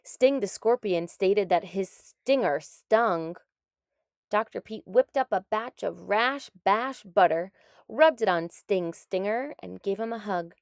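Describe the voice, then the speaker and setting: Woman reading a storybook, slow and expressive. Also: mid-range voice, dry recording, studio quality. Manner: deliberate, expressive. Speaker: storybook narrator. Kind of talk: expressive children's read-aloud narration.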